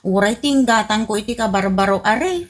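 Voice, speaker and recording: A woman's voice: medium pitch, lively intonation, fairly quick, lightly acted. A woman voicing a wife in debt who pleads with her husband in a whiny tone. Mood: pleading. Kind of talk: comedy radio drama acting.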